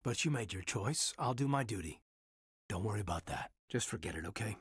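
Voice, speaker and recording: A man playing an earnest, reserved military officer in a sci-fi video game, sincere, thoughtful and dryly wry, with a slightly husky voice. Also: lowish voice, animated, a little fast, no echo, studio quality. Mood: quiet, hurt. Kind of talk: video game dialogue acting.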